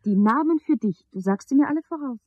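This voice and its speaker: A woman's voice: sits high, animated, fast talker, a little mellow, slightly rough, no echo. An actress playing a dreamy, tender woman recalling a strange past in a radio play. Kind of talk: 1970s German radio play acting. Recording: dull, lo-fi old radio recording.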